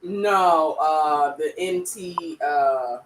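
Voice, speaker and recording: A woman's voice: low voice, huge pitch swings, very slow, vocal fry. A woman co-hosting a casual tech livestream, chatty and laughing easily as she explains tools. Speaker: co-host, tech community founder. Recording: echoey distant mic with faint background noise.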